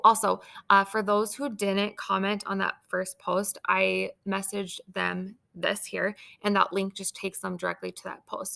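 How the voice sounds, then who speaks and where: Brief: female team leader, chatty and upbeat, strong gravelly fry, slightly scratchy throat. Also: medium pitch, fairly bright tone. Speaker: team leader of sales consultants. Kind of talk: casual training talk.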